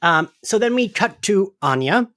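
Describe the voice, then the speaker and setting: Animated man hosting a chatty recap podcast. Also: sits high, normal speed, noisy background. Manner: animated, giggly, casually sweary. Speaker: podcast host. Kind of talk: casual recap chat.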